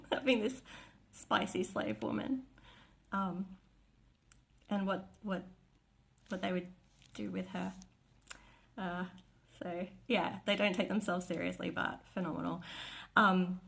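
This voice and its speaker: Chatty woman with a gravelly low-ish voice, rambling fan talk. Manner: gushing, chatty, rambling with fillers. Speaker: vlogger. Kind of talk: casual chat.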